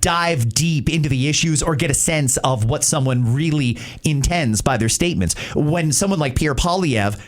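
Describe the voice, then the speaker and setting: A man's voice: a little high, moderate pace, hardly any pauses. A Canadian man hosting a radio show, chatting and giving his opinions on listener messages in a conversational way. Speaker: radio talk show host.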